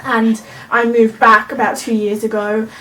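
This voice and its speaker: A woman's voice: fairly high pitch, level tone, unhurried, fairly bright tone, a little hiss or hum. Young woman, Welsh accent with Australian traces, casual vlog chat, slightly distant mic. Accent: Australian-tinged Welsh. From Wales. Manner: chatty, rambling, with frequent "um"s. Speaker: YouTube vlogger.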